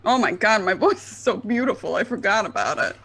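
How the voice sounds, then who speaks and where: A woman's voice: medium pitch, lively intonation, brisk, fairly bright tone, breathy edge. A woman playing a tabletop game, joking playfully with the group. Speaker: player.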